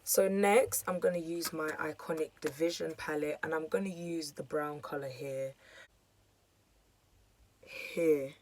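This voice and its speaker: A young woman with a hoarse, croaky voice, chatting casually through a makeup tutorial with lots of fillers. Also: low voice, fairly even intonation, unhurried, darkish tone. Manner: chatty, filler-heavy, self-deprecating. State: hoarse, losing her voice. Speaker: beauty vlogger.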